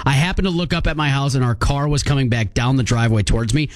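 A man's voice: medium pitch, quick pace, dry recording, clean studio sound. A man co-hosting a morning radio show, joking around and reading listener texts aloud. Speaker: radio co-host.

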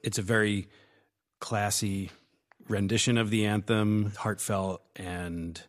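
A man hosting a classical music radio show and playing piano, speaking in a measured, encouraging way. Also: on the low side, flat delivery, no room sound. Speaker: radio show host and pianist. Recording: dry studio sound.

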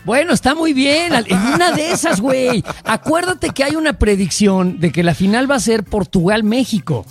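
A man's voice: very high voice, expressive, normal speed, slightly rough, no room sound, soft background music. A Mexican man hosting a casual chat show, blunt and heated and swearing freely. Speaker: show host. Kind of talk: casual chat about football and politics.

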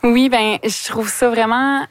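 A woman's voice: a little high, steady tone, unhurried, clean tone. A 25-year-old woman, a guest on a radio panel, speaking reflectively with many fillers.

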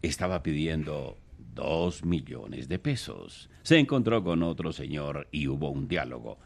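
A man's voice: extremely low pitch, lively intonation, measured pace, gravelly, husky. A Colombian man hosting a radio comedy show, bantering casually and telling jokes.

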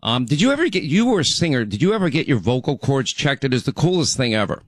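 A man's voice: medium pitch, big pitch swings, fast, no room sound. A middle-aged man hosting a talk radio show in a chatty, rambling way, his voice still recovering from laryngitis. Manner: chatty, rambling, frequent restarts. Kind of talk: casual talk radio monologue.

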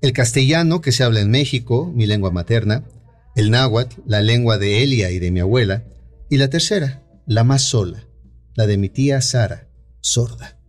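A man's voice: mid-range voice, moderate pace, faint music behind. A Mexican man hosting a live radio interview, chatty and easygoing, with frequent backchannel "ujú"s. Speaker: radio show host.

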